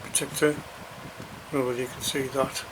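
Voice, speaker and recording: A man giving an unscripted, matter-of-fact unboxing commentary, halting as he thinks aloud. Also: medium pitch, flat delivery, rough recording. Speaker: radio hobbyist presenting an unboxing. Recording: noticeable background noise, crinkling packaging handling.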